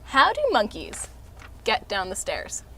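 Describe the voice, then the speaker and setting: A woman voicing Tuna, a cheerful puppet who sings a slow rhyming song and tells silly jokes to children. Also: mid-range voice, wildly swooping pitch, sharp tone, exaggerated voice, poor audio. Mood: playful. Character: cheerful joke-telling puppet named Tuna. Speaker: children's puppet show performer.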